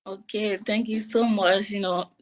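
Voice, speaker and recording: A woman's voice: medium pitch, steady tone, a little slow, slight background noise, rough recording. A woman hosting a live online panel show, chatty and informal, often laughing as she brings in her guests. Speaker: host.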